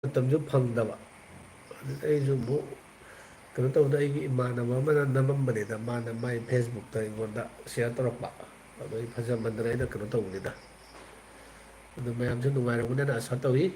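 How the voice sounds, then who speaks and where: A man's voice: medium pitch, steady tone, very fast, dark tone, a little hiss or hum, poor audio. A man from northeast India with a Manipuri accent, chatting casually and rambling to his viewers on a live stream.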